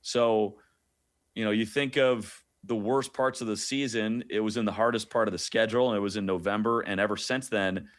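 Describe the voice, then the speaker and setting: A man's voice: lowish voice, fairly even intonation, normal speed. A man talking sports conversationally as a guest play-by-play announcer, with informal storytelling and wry asides.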